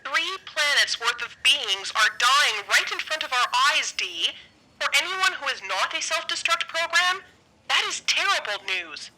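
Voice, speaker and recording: An earnest female space crew member, played by an actress, speaks formally in an audio drama. The voice is high and very sharp, with lively pitch and faint noise. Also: unhurried, exaggerated voice, low-quality recording. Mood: dismayed.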